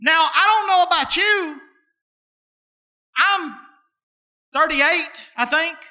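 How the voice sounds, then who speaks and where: A man's voice: very high-pitched, pushed and bright. A man in his thirties preaching a sermon as pastor, folksy and conversational, stretching out key words for emphasis and slipping into casual dialect. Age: 30s. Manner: preaching cadence with drawn-out emphasis.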